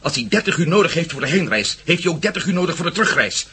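An actor playing a tense, short-tempered, excitable man in a radio play. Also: fairly high pitch, very fast, slight background noise. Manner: irritable, excitable. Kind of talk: radio drama acting.

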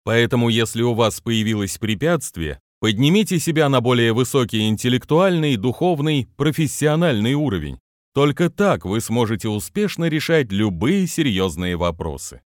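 A man's voice: mid-range voice, animated, normal speed, no room sound, clean studio sound. A man, a business trainer, reading his own motivational book aloud with non-native pronunciation and an earnest manner.

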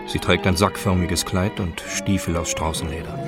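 A man's voice: low-pitched, fairly even intonation, normal speed, loud music behind the voice. A man reading scripted, present-tense scene narration for a radio drama.

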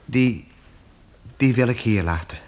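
An actor playing a stammering, eager young wanderer in a radio drama. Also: male voice, mid-range voice, measured pace, a little mellow, close to an everyday voice. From Bruges.

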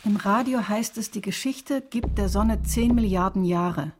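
An actress reciting as a solemn poetic voice in a radio play, measured and stark, with a slightly husky tone. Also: female voice, mid-range voice, a little mellow, slight background noise, close to an everyday voice. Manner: measured, stark questions and pronouncements. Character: solemn female poetic voice of litany.

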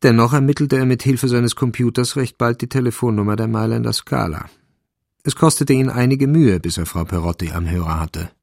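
A man narrating a literary crime story for a radio drama in a creaky voice. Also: mid-range voice, fairly quick, a little mellow, no room sound, studio quality.

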